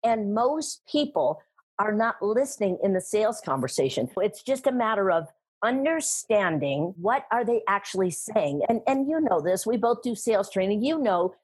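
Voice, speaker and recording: Husky, animated woman speaker. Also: mid-range voice, normal speed. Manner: animated, emphatic, laughs often. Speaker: guest, sales trainer and speaker. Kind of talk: conversational interview.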